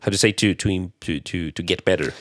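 A man tutoring Spanish in a casual chat, correcting gently with soft affirmations. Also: low-pitched, moderate pace, no echo. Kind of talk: casual language-learning chat.

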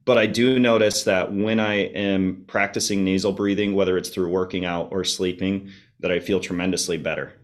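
A man chatting casually as a podcast guest, informal and explanatory, his voice slightly hoarse and a little congested. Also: deep voice, steady tone, moderate pace. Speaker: podcast guest, health enthusiast. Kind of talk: casual conversational interview.

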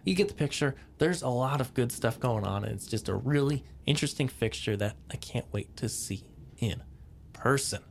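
Male podcast host, hoarse with a cold, gravelly, casual explainer. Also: mid-range voice, normal speed, a little hiss or hum. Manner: relaxed explainer with many ums and restarts. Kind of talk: casual lighting podcast talk.

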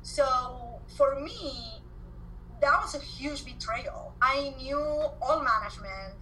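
An Argentine woman in her forties talking as a podcast guest, in a bright and slightly high voice. She tells her stories with animated energy, doubling back and repeating words. A faint hiss of noise sits under the recording. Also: slow, poor audio. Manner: animated storyteller, repeats words when excited. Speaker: guest entrepreneur. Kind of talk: podcast interview.

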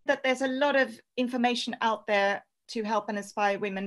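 A woman's voice: on the high side, a bit bright. Life coach guest, woman, sincere and reflective. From Norway. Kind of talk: conversational interview answers.

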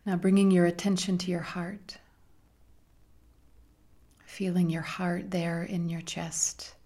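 Slow, creaky-voiced woman guiding meditation. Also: fairly low pitch, flat delivery. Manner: soothing, gentle, with long pauses. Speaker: meditation guide. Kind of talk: guided meditation.

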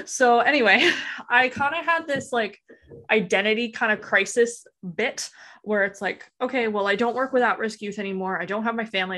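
A woman's voice: medium pitch, pushed and bright. A woman from Alberta with a Western Canadian accent, chatting informally and ramblingly as a podcast guest. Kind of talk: casual interview chat.

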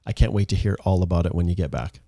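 Relaxed male co-host, low and mellow, close and dry. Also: quick pace, dry recording. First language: English.

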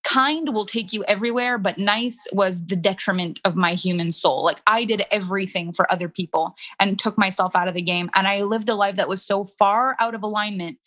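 A woman in her thirties with a French Canadian accent, whose first language is French, talks through her story with candid animation. Her voice sits at a middle pitch and keeps a loose, conversational flow, with "like" scattered through. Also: brisk, hardly any pauses, fairly bright tone. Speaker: business coach. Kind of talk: casual interview chat.

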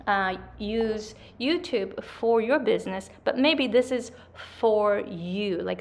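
A woman's voice: on the high side, darkish tone. A woman with a Japanese accent, a native Japanese speaker and English coach, giving chatty business advice to camera and often checking in with "right?". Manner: chatty, repeats "right?" and "like", self-corrections.

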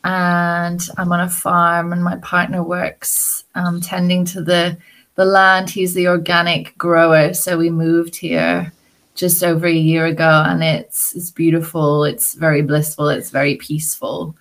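A woman's voice: fairly low pitch, completely flat delivery, unhurried, a bit bright. A British woman who works as a women's mentor, talking as a podcast guest in a reflective, rambling way, thinking aloud with fillers. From British, raised partly abroad. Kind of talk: casual interview chat. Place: farm home in a village.

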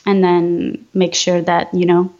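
A woman from Venezuela, a designer speaking in a soft, hesitant way with many ums. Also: lowish voice, monotone, a little slow, lo-fi sound. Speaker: graphic designer, guest. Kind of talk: casual interview.

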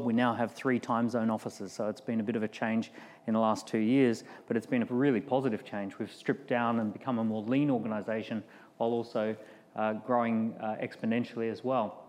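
A man's voice: mid-range voice, fairly even intonation, a little fast, darkish tone. A middle-aged man with an Australian accent giving a conversational keynote talk to a live audience. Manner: conversational, with fillers and restarts. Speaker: keynote speaker. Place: large room with live audience. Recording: long hall reverb.

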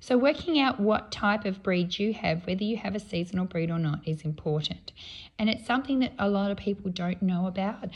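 Chatty woman, croaky from a cold, talking off the cuff as a podcast host. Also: medium pitch, brisk, poor audio. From Australia. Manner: chatty, relaxed, with frequent ums. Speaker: podcast host and cat breeder. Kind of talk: off-the-cuff explanatory podcast talk.

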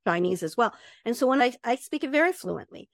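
A middle-aged woman, an HR professional and advisor, talking in an interview and acting out quotes with lively jumps in pitch. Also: fairly quick, vocal fry, no room sound, studio quality. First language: English. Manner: animated storyteller, acts out quotes with big pitch jumps. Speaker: guest, HR professional and certified advisor. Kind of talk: conversational interview.

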